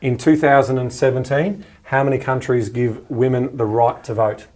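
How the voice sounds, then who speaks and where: Aussie guy cohosting a podcast, chatty and keen, mid pitch, casual. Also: steady tone, moderate pace, a little mellow. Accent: Australian. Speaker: podcast co-host. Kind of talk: casual chat.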